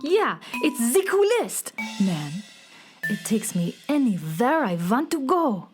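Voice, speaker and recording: A beatnik cat girl from the moon played by a woman drawls her hipster slang at an unhurried pace. Her pitch slides and swoops with lazy cool, over music in a children's radio drama. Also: very animated. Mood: cool, unbothered. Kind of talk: children's radio theater acting.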